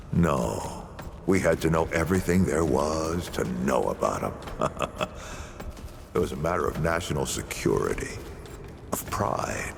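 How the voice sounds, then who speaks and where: An actor playing a weary, reflective veteran founder and explorer in a video game, telling his story with deliberate drama. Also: rumbling low, lively intonation, gravelly, background noise, exaggerated voice. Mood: grave, rueful.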